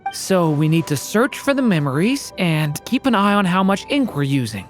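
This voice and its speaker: A woman's voice: fairly low pitch, sing-song, normal speed. A woman playing an earnest, determined young daughter on a quest in a video game, speaking sincerely. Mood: questioning. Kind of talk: video game cutscene acting. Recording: faint music behind the voice.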